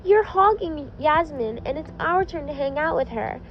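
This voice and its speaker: A woman's voice: sits high, lively intonation, normal speed, highs cut off, a little hiss or hum, pitched above the performer's own voice. A woman voicing a bossy, teasing young girl in a story reading, pleading and sing-song. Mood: whiny, arguing. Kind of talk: storybook-style character voice in fan fiction reading.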